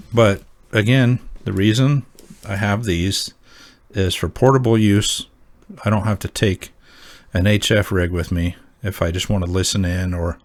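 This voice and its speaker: A man hosting a hobby video, explaining and demonstrating gear in a casual, rambling way as he thinks aloud. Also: sits low. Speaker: host of an amateur radio hobby channel.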